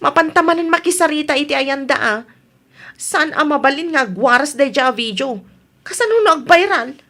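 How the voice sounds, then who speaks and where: A woman's voice: sits high, lively intonation, normal speed, sharp tone, exaggerated voice. A woman acting an upset village woman, pleading and emphatic, in an Ilocano radio comedy drama. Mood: indignant. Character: upset, apologetic but forceful village woman.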